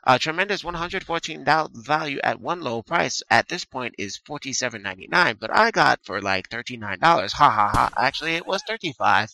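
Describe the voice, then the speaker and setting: A young man reading game box copy aloud in a deadpan mock-announcer voice, breaking off with crude asides. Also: medium pitch, brisk, vocal fry, few pauses, poor audio. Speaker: co-host.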